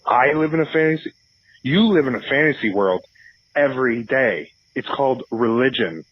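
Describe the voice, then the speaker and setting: A man's voice: medium pitch, animated, moderate pace, no room sound, close to an everyday voice. A man playing a cocky, crude, argumentative atheist caller on a comedy radio show, sneering and dismissive. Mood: irritated sneering. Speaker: phone-in caller. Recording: slightly dull phone-in sound.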